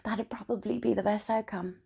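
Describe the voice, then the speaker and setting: A woman playing a lonely, wry, broken woman confiding in Death in an intimate, hesitant theatrical monologue, with a husky, breathy voice. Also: medium pitch, steady tone, a little fast, warm tone. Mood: wry, melancholy. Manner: confessional, self-deprecating, hesitant. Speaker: writer and performer of a one-woman play.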